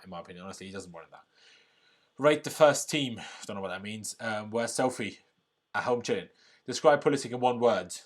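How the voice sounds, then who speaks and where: Brief: rapid, chatty male livestream host from Austria, cheerful fan energy, mid-pitched voice. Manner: chatty and upbeat, often says "bloody brilliant". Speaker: fan channel live stream host. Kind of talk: casual live stream chat reading donations and comments.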